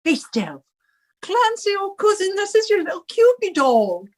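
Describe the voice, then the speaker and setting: An older Irish widow who runs a boarding house in a vintage radio comedy. She is combative and melodramatic, with a very high, slow delivery that swings widely from bossy orders to wails. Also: big pitch swings, darkish tone, heavily acted. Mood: wailing, pleading. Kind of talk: 1950s radio comedy acting.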